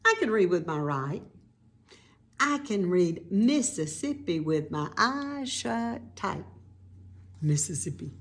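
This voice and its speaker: A woman reading a children's picture book aloud in an expressive, teacherly way, with informal explanatory asides to young listeners. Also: lowish voice, slow, slight background noise.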